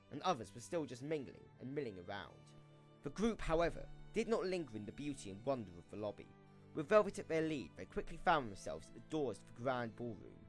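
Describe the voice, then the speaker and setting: A man narrating a scripted story for an amateur radio play, reading in a storybook style. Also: mid-range voice.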